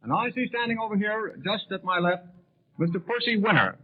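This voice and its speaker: Tinny old radio sound, American man, announcer, very quick speech, formal, wordy, genial. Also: high voice, lively intonation. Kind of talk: 1930s live radio announcing and interviewing. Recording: tinny narrowband 1930s radio broadcast.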